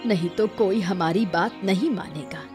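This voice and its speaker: A woman voicing a determined, wise village mother in a cartoon, calm and measured. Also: mid-range voice, music in the background, close to an everyday voice. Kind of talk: Hindi cartoon dubbing.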